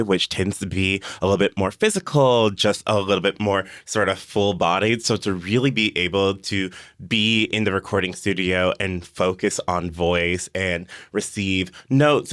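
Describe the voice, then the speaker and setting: A man's voice: deep voice, fairly bright tone, no echo, studio quality. A man talking casually as a panelist on a podcast. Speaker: podcast panelist, sketch comedy performer. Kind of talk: casual conversation.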